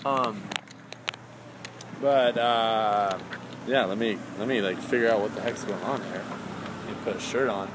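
A man's voice: lowish voice, measured pace, pauses often. A young man rambling casually to a camera as he goes about errands, chatty, exclamatory and easily sidetracked. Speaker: vlogger. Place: on the go, car then grocery store. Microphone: handheld camera he sets down. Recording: clear ambient and vehicle noise.

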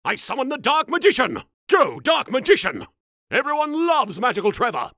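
A man's voice: very high voice, wildly swooping pitch, normal speed, heavy background noise, heavily acted. A man voicing an arrogant, theatrical young anime duelist in a comedy parody, with haughty, over-enunciated delivery. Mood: bombastic, shouting. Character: arrogant, pompous young male anime duelist in a recording booth. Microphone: close studio microphone.

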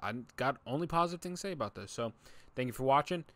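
A young man giving excited, slangy, casual reaction commentary as a video host. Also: mid-range voice, fast talker, no room sound. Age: young adult. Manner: slangy, hyped, exclaiming. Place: small home room, indoors.

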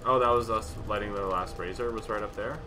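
A man's voice: steady tone, quick pace, background noise. A young man chatting casually and joking while playing a co-op game. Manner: joking, jumps into high mock voices. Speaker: gamer. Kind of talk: casual co-op gameplay chat. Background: game music.

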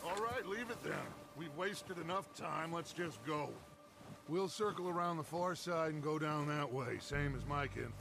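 A creaky higher-voiced american outlaw boss, old west game, bossy and theatrical, music behind him. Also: male voice. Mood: firm, impatient. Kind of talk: video game voice acting.

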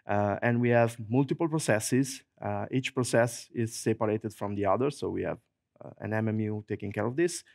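A middle-aged man with an Italian accent giving a lively technical talk in a large conference hall, speaking into a handheld microphone and filling pauses with frequent "uh"s. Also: fairly low pitch, vocal fry, studio quality. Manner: lively, fillers like "uh", jokes and laughs.

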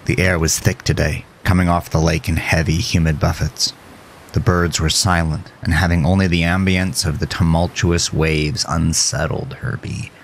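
A man's voice: low voice, little pitch movement, normal speed, creaky, slight background noise. A man reading scripted, dramatic horror narration as a storyteller.

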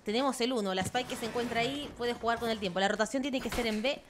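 A young Chilean woman with a Chilean Spanish accent and some Argentine touches, giving live esports commentary from an indoor room at home, quick to burst into excited exclamations. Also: on the high side. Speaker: esports caster. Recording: clear background noise from game sounds.

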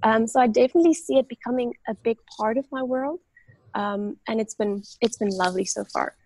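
A woman in her thirties with a South African accent, a native Afrikaans speaker, talks over a video call through an earphone mic. Her voice is a little high, with a husky, gravelly grain. The sound is dry and close, with hardly any room around it. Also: brisk, a little mellow, no echo, rough recording. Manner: thoughtful, frequent fillers and restarts. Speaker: guest, medical doctor. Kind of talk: casual interview talk. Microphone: earphone headset mic on a video call.

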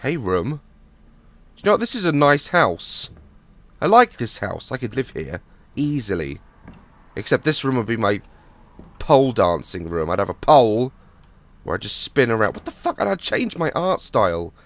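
A hoarse young man on a headset mic, nervously joking and swearing through a horror game as a gaming YouTuber. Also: medium pitch, sing-song, normal speed, long pauses. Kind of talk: horror game let's play commentary.

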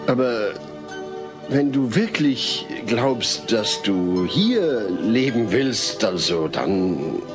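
Man playing an earnest, expressive family father. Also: medium pitch, moderate pace, over music, close to an everyday voice. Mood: earnest, awkward. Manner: earnest, reasoning, hesitant when awkward. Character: earnest suburban family father, patient, well-meaning. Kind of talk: scripted comedy audio drama.

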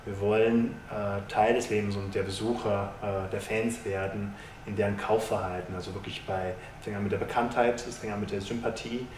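Low-voiced man answering, roomy and distant. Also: steady tone, a little mellow. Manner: measured, businesslike, many hesitations and restarts. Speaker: sponsorship executive. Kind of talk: corporate interview answers. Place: stadium lounge with glass walls, indoors. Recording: roomy, distant mic, faint hiss.

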